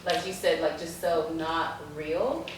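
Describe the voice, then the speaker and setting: Guest woman from the United States, wry and laughing, lowish voice, far from the mic. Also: slow pace. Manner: wry, laughs while talking. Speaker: guest, attorney. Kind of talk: casual conversation. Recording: echoey room, distant mic, faint noise.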